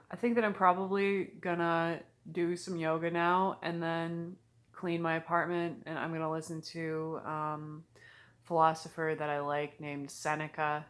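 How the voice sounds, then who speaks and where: Lower-pitched woman in her thirties, candid. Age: early 30s. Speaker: vlogger. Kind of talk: confessional casual vlog talk to camera.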